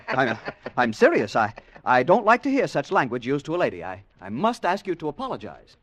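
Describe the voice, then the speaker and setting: An actor playing a timid, proper, gallant gentleman traveller who stammers earnestly in an old-time radio drama. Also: a little high, dry recording, exaggerated voice. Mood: indignant, nervous.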